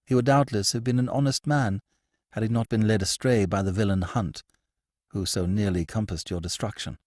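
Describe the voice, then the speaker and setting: A man's voice: fairly low pitch, steady tone, normal speed, darkish tone, no room sound, studio quality, lightly acted. A man reading a story, voicing a veiled, agitated noblewoman with a piercing, urgent and imperious manner. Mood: fervent. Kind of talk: audiobook character voice.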